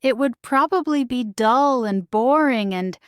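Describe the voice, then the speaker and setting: Lady playing a gentle dreamy fairy tale girl, sweet and earnest, smooth clear voice. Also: fairly high pitch, lively intonation, unhurried, no echo, clean studio sound, voice pushed up. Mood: wistful. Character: kind, dreamy, gentle young servant girl.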